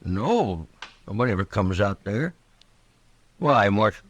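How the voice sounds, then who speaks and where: Halting, simple rural American drifter. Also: male voice, fairly low pitch, sing-song, husky, full character voice. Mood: puzzled. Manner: halting, artless, pleading. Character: simple, poor, frightened drifter wrongly accused. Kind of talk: old-time radio western acting. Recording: vintage radio drama recording.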